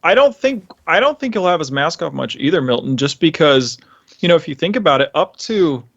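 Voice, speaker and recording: A man's voice: a little high, big pitch swings, brisk, dry recording, highs cut off. A man speaking as a podcast co-host in casual, enthusiastic, rambling fan chat.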